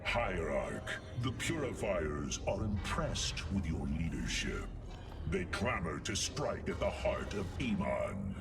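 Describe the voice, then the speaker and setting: An actor voicing an earnest, humble machine warrior in a video game, measured and solemn. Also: male voice, low-pitched, very animated, gravelly, distant mic, music in the background, full character voice. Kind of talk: dramatic video game acting.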